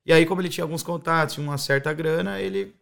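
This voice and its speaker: A man from the Brazilian interior chats casually with a thick countryside accent. His voice sits a little high with a gravelly fry, and his manner is teasing and informal. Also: rapid-fire, fairly bright tone. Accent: strong rural Brazilian interior (caipira). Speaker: musician guest. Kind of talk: casual chat.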